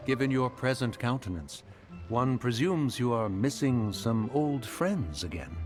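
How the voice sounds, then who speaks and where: A man's voice: mid-range voice, animated, measured pace, over music, lightly acted. A man voicing a courteous, grave ancient immortal in a video game, with formal, archaic speech. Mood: concerned. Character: courteous, grave ancient adeptus consoling a friend. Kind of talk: video game character dialogue.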